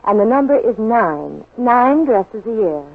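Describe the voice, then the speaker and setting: A chirpy woman delivering a scripted 1940s radio commercial with instructive household tips. Her pitch moves around a lot, and the old broadcast sounds narrow and muffled. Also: sing-song, a little slow. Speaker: sponsor's spokeswoman.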